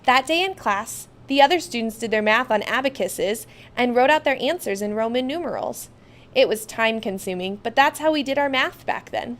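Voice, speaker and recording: A woman with a fairly high voice narrates a children's storybook in the first person. She reads expressively, putting clear emphasis on key words. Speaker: storybook reader.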